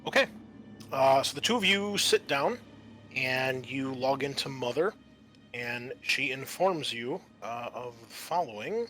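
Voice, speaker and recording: A man's voice: mid-range voice, animated, measured pace, a bit bright, soft background music. A man narrating a tabletop game as game master in a conversational, explanatory way.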